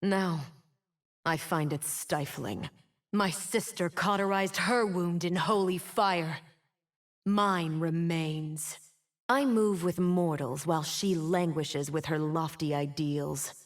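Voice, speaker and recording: A woman's voice: low-pitched, steady tone. An actress playing a bitter, wounded fallen winged woman in a video game, solemn and theatrical. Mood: mournful, bitter. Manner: slow, solemn, theatrical delivery. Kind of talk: dramatic video game champion voice lines.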